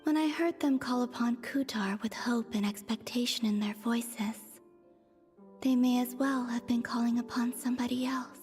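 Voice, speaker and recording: A woman voicing a gentle, wistful young goddess in a video game, soft, breathy and hushed, with an echoing effect. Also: a little high, fairly even intonation, moderate pace. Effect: echoing reverb. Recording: long reverb, music behind.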